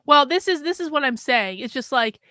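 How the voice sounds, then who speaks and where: A woman with a bright, forceful voice riffs bluntly over a clip, full of "like"s and the occasional curse. Her voice sits a touch high and sounds dry and close, with no room around it. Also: animated, fairly quick, dry recording. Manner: blunt, rambling asides with frequent "like" and swearing. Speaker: commentary host reacting to a clip. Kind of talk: casual reaction commentary.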